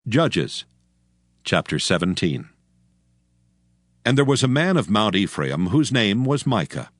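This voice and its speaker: Low-pitched solemn male scripture narrator. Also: sing-song, a little slow, no room sound, clean studio sound.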